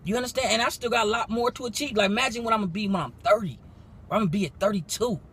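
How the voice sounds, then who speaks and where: A man in his twenties, a music producer giving a casual, profane motivational pitch, possibly recorded in a car. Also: slight background noise. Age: 20s. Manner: animated, profane, rapid slangy talk.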